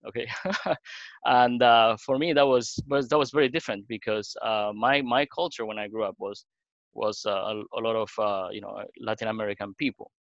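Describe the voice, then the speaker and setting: A middle-aged man from Argentina, a pastor, sharing his story in a halting way with many fillers and restarts. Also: on the low side, fairly even intonation, vocal fry, no echo, muffled recording. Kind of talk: panel talk.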